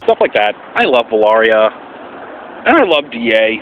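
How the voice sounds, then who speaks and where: A man talking casually and earnestly in a vlog as an amateur voice actor, with an animated, swooping delivery. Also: mid-range voice, normal speed. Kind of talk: casual vlog explanation with voice demonstrations. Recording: muffled, faint background noise.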